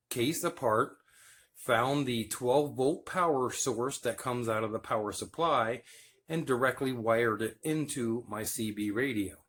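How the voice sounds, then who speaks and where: An American man giving a casual show-and-tell narration as a hobbyist video host. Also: mid-range voice.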